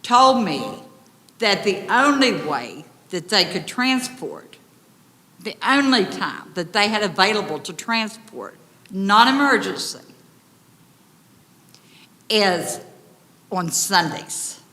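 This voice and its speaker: Loud, bright-voiced woman in an echoey hall. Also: mid-range voice, big pitch swings, takes it slowly, long pauses. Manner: loud, big voice; urgent, pleading, indignant. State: upset. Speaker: resident addressing county commissioners. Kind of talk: public comment at a meeting. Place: county commission meeting room. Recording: echoey hall, distant reverb.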